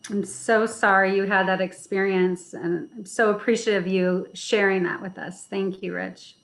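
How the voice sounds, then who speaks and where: A woman hosting a podcast panel, moderating in a gentle, sympathetic and courteous manner. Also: mid-range voice, steady tone, moderate pace, a little hiss or hum. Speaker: podcast host.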